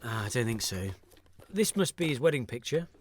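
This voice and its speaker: An actor playing an anxious, hesitant man new to a house-clearing job in a naturalistic audio drama. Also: medium pitch, very animated, fairly quick, lightly acted. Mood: distracted, curious. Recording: clear background noise.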